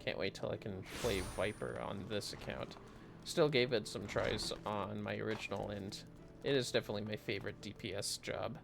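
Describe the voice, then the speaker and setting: A man's voice: fairly low pitch, fairly even intonation, moderate pace, gravelly, over music. A man giving casual, chatty gameplay commentary with joking asides as he livestreams a fantasy game. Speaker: livestreamer playing an online fantasy RPG.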